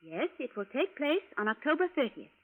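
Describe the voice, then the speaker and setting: A woman's voice: high-pitched, big pitch swings, brisk, a bit bright, heavily acted. A woman acting an earnest, formal time traveler from the future in an old radio drama, with precise wording. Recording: tinny vintage radio recording.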